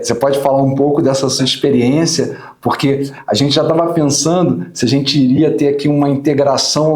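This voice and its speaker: A man's voice: mid-range voice, darkish tone, echoey room. A Brazilian man hosting a podcast interview, talking informally with fillers. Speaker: podcast host. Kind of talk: conversational interview.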